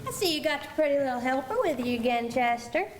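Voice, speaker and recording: Woman playing a high-voiced frontier mail clerk, echoey. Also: lively intonation, fast. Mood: teasing. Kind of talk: stage performance of old-time Western radio drama. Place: high school auditorium stage. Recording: echoey auditorium with slight noise.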